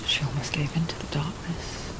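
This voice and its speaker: An actress playing an intimate, foreboding narrator inside a troubled warrior's mind, in a breathy, whispery voice. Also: female voice, low voice, close to an everyday voice, low-quality recording. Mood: ominous. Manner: slow, hushed, foreboding. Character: soft-spoken female narrator voice haunting a troubled warrior's mind.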